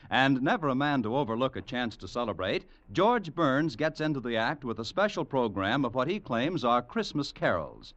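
A man's voice: medium pitch, a bit bright. A man with an American accent announcing in a 1940s radio style. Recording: muffled old radio broadcast.

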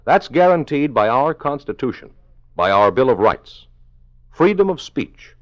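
A man's voice: medium pitch, big pitch swings, unhurried. A man with an American accent playing a solemn announcer, speaking with dramatic, emphatic oratory in a 1950s radio message. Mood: earnest, patriotic. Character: solemn male announcer warning about lost freedoms. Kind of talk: 1950s radio public service announcement narration. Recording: muffled 1950s radio broadcast.

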